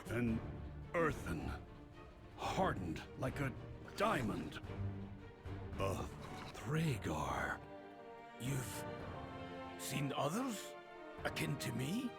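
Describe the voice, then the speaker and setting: A man's voice: fairly low pitch, huge pitch swings, exaggerated voice. A man playing a dutiful stone construct guardian in a video game, speaking in stern, formal pronouncements. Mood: awed. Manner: slow, formal, measured pronouncements. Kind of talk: video game dialogue acting. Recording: game dialogue under loud music.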